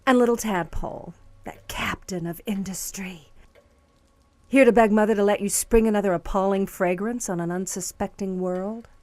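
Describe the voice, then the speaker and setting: An actress plays a smug, venomous favourite daughter in an audio drama. Her mid-pitched voice is theatrical and condescending, all false sweetness, recorded dry and close. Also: normal speed, darkish tone, no room sound, natural voice. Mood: gloating sweetness. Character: smug, venomous sycophantic daughter of a rich matriarch.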